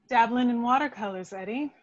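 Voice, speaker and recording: A woman's voice: a little high, a little mellow, exaggerated voice. A woman playing a detective's wry, jealous girlfriend in an amateur table read, with a sarcastic delivery. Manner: dry, sarcastic. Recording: slightly dull home recording.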